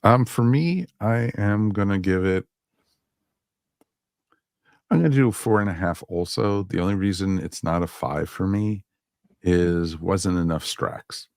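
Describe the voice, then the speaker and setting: Male podcast host, very low gravelly voice, dry close sound, loose conversational delivery. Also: unhurried, pauses often, darkish tone, no room sound. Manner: conversational, repeats himself, peppered with "you know". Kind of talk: casual podcast chat.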